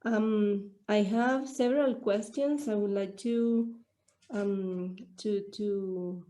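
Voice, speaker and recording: A woman's voice: medium pitch, fairly even intonation, slow, dark tone. A woman whose first language is not English, asking questions at an online Q&A, hesitant and searching for her words. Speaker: audience member asking questions.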